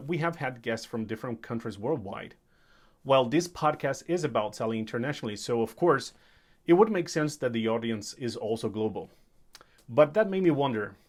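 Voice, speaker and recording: Male podcast host with a mid-range voice. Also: mid-range voice. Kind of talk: scripted intro and interview questions.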